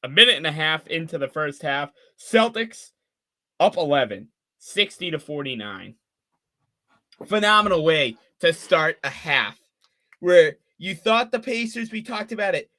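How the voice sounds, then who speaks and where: A man of twenty giving fan play-by-play on a live stream, calling the action quickly and chatting with viewers between plays. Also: on the high side, expressive, sharp tone. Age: 20s. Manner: rapid call of the action mixed with chatty asides to viewers.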